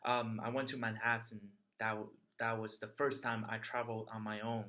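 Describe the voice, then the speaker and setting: A young man from China telling a travel story in casual chat, chatty and halting with many fillers. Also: on the low side, level tone, sharp tone. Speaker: interviewee sharing a travel story.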